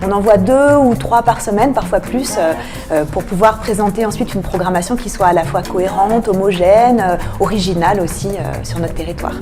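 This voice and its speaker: A woman explaining a cultural programme as a radio interview guest, often pausing to find her words. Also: medium pitch, over music. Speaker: guest, arts programming manager.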